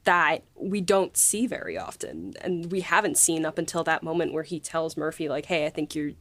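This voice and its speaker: Low-pitched woman, close dry podcast sound. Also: animated, normal speed, dry recording. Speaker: podcast co-host and writer. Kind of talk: casual podcast chat.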